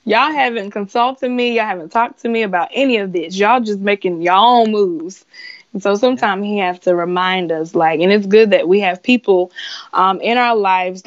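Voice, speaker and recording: A woman in her twenties, a singer being interviewed, chatting casually in a giggly, animated storytelling way. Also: mid-range voice, lo-fi sound. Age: 20s. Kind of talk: casual chat.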